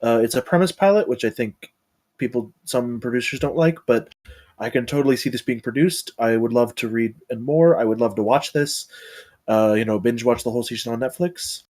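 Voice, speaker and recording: A man offers casual critique of a script in an average-pitched voice. He feels his way through his points, thinking aloud with hesitations. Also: brisk, a little mellow. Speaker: table reader giving script feedback.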